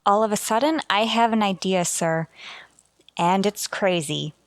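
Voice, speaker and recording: An actress playing an earnest, deferential starship communications officer in a sci-fi radio drama. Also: female voice, mid-range voice, unhurried, no echo, natural voice. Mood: earnest, puzzled. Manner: earnest, respectful, careful.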